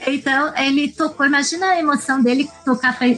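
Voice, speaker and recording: A woman's voice: high voice, steady tone, fast, a bit bright. A Brazilian woman, a podcast guest, telling travel stories casually and checking in with her listeners as she goes.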